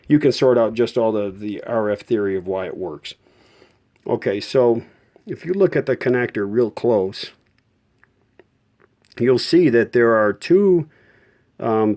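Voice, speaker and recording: Mellow-voiced man giving a halting hands-on tutorial. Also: mid-range voice. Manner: halting, self-correcting, informal. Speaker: host of a vintage radio repair tutorial. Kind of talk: unscripted hands-on technical explanation.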